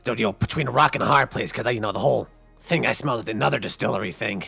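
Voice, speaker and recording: Man as a very creaky, scatterbrained vampire underling. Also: medium pitch, rapid-fire, bright, forceful tone, dry recording, soft background music, full character voice. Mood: rambling, eager. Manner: rambling, informal, repetitive. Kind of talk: Minecraft roleplay acting.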